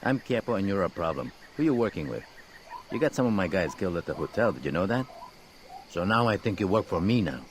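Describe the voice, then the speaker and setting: A very deep-voiced militia boss in a video game cutscene. He rattles through his menacing business bluntly and with dry sarcasm. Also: sing-song, quick pace, slight background noise, poor audio. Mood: threatening.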